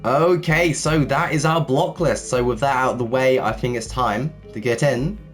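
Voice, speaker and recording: A man narrating a video tutorial as its host, his voice a little raspy from a cold, with an upbeat presenter's cadence. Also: medium pitch, moderate pace. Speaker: host of a Minecraft build tutorial series. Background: background music.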